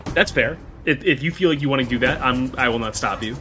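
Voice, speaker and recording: A man with a bright, forceful voice talks as a player in a tabletop game. His speech is casual and slangy. Light music sits behind him. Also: medium pitch, brisk. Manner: informal, slangy. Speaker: tabletop role-playing player.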